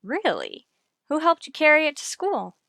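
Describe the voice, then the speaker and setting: High-voiced, wry wisecracking schoolteacher. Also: female voice, huge pitch swings, normal speed, vocal fry, bright, forceful tone, dry recording, exaggerated voice. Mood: dry, coaxing. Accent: American. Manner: dry, wisecracking delivery. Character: wry, sardonic female schoolteacher, quick with dry quips. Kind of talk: vintage radio sitcom acting.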